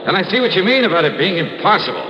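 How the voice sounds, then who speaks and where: An actor playing a shrewd, laconic American investigator in a 1950s radio drama, probing and dry. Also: male voice, high voice, sing-song, rapid-fire, noisy background. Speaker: lead actor in a detective radio serial. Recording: muffled vintage radio recording, music underneath.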